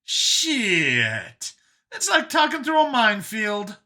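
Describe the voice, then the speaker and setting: Man putting on an excitable doctor in an audio story, pitched up high, piercingly bright, halting, exclaiming. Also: heavily acted. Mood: flustered. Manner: exclaiming, halting when searching for words. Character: Brian, excitable, shocked doctor, abducted man.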